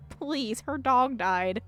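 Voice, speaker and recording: A woman's voice: fairly high pitch, lively intonation, drawn-out words, fairly bright tone, soft background music. A woman doing casual, giggly, reactive commentary while she plays a game and reads it aloud. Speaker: streamer playing a visual novel.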